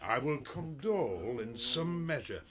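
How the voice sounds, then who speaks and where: An actor with a British accent playing a boastful, stage-struck weaver in a Shakespearean radio comedy, pompous and hammy. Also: male voice, medium pitch, expressive, measured pace, a crowd in the background, lightly acted. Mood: self-important.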